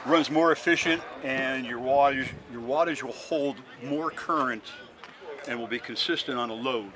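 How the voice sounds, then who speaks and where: A man's voice: medium pitch, normal speed, background noise. A man being interviewed as a hobbyist restorer, explaining technical work step by step in a casual, matter-of-fact way outdoors. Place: outdoors at a busy swap meet. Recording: on-location recording amid loud crowd.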